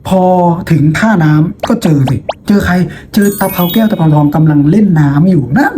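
A man's voice: sits high, animated, normal speed, warm tone. A man teaching in an informal, playful storytelling style, chatting to students and often posing rhetorical questions. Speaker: teacher and host of a lesson video. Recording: noticeable background noise.

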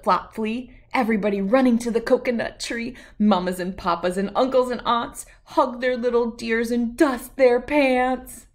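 A woman in her thirties reading a picture book aloud to young children in an expressive, playful, rhythmic way. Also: a little high. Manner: expressive, playful, sing-song rhythmic delivery. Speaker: children's read-aloud host.

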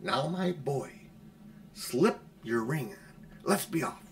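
A man's voice: fairly high pitch, expressive, slow, very echoey. A man voicing a sly, cowardly old uncle in a storybook, wheedling and genteel. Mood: sly, hushed.

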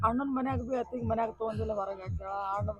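A man's voice: very high-pitched, steady tone, fast, soft background music. An actor playing a distressed young village mother thrown out by her in-laws, complaining bitterly through tears, with a North Karnataka Kannada accent. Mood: tearful. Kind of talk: village drama acting.

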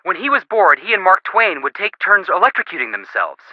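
A man's voice: high-pitched, very animated, fairly quick, no echo, dull audio. An actor playing a goofy, eager young male detective in a video game, with playful, wisecracking delivery. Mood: playful, wry. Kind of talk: video game character dialogue.

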